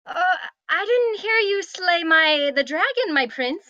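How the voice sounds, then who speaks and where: A woman's voice: very high voice, expressive, measured pace, bright, forceful tone, no room sound, lo-fi sound, full character voice. A woman playing a young fairy-tale princess in a comedy table read, sweetly demanding, coy and plaintive.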